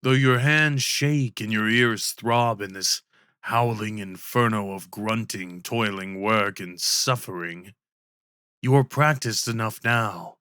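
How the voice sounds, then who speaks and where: A man reading a scripted fantasy roleplay story in a measured, deliberate storytelling manner, in an ASMR style. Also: fairly low pitch, sharp tone, clean tone, dry recording, clean studio sound. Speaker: audio roleplay narrator.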